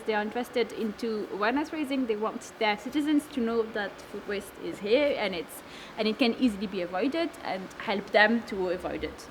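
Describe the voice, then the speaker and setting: A woman in her twenties from Lebanon and France, speaking in a slightly high voice. She answers interview questions casually, stopping often with fillers and restarts. Clear background noise runs under her speech. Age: 20s. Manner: hesitant, many fillers and restarts. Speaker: food waste network founder. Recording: noisy background.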